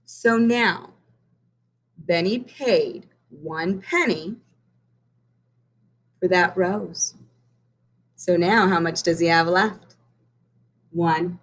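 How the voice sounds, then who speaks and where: A woman teacher reading a picture book aloud to children, stopping to count coins with them. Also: on the low side. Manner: slow and expressive, pausing to count coins. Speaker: primary math teacher. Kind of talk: children's read-aloud lesson.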